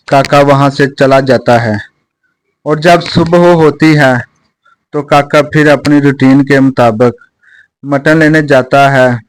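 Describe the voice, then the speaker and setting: A man's voice: mid-range voice, no echo, rough recording. A man narrating a scripted children's story as a close, dry voice-over.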